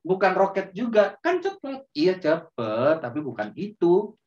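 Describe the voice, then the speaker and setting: A man telling a story to children in a gentle, drawn-out way, often turning to them as friends. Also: sits high, sing-song. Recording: roomy, distant, dull sound.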